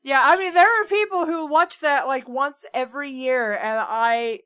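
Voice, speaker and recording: A woman with a high voice, captured close and dry. She chats casually and jokingly as part of a comedic read-along. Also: normal speed, bright, forceful tone, no room sound. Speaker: reader on a bad fanfiction show.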